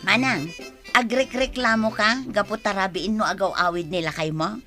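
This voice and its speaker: An actress in an Ilocano radio drama playing a flirtatious, playful sister-in-law, with a coy manner and an Ilocano accent. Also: female voice, medium pitch, normal speed, pushed and bright, faint music behind. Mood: casual, teasing.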